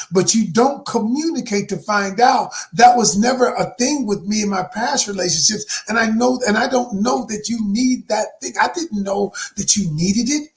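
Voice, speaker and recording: A man in his sixties, a life coach giving a motivational talk to viewers. He is emphatic and preachy, calls his listeners "y'all," and swings from hushed to shouted. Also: high voice, gravelly. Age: 60s.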